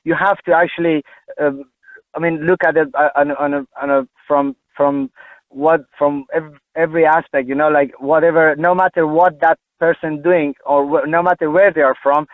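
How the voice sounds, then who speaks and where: A man from Afghanistan calling in to a radio show, speaking haltingly with many restarts over a phone line. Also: on the high side, fairly even intonation, no room sound. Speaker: caller. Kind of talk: phone-in call.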